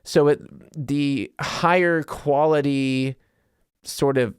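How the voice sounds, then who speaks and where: A male podcaster with a somewhat higher-than-average voice talks off the cuff to his listeners. His delivery is loose, conversational and self-deprecating, in the manner of a comedian thinking out loud. The sound is dry, with very little room in it. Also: steady tone, takes it slowly, clean tone, no room sound. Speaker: podcast host and stand-up comedian. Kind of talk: casual solo podcast monologue.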